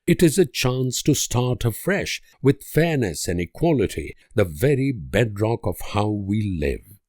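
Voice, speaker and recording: An actor playing a grave, idealistic and world-weary political leader in a strategy game, speaking in measured, solemn oratory with dramatic pauses. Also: male voice, on the low side, big pitch swings, a little mellow, dry recording, studio quality. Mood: earnest, solemn.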